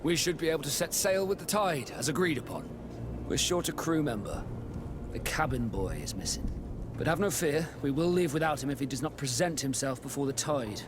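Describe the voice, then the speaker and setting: An actor playing a brisk, stern but courteous sea captain in a video game, speaking with formal authority. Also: male voice, fairly high pitch, animated, background noise, natural voice. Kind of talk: video game cutscene acting.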